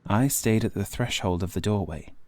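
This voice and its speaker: A man reading scripted Gothic horror as an audiobook narrator, in a measured, formal, literary delivery. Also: fairly low pitch. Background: faint music.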